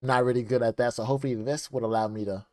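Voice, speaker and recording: A man's voice: mid-range voice, steady tone, rapid-fire, warm tone, dry recording, studio quality. A young man chatting casually into a desk microphone as he reacts to a video, rambling with lots of fillers.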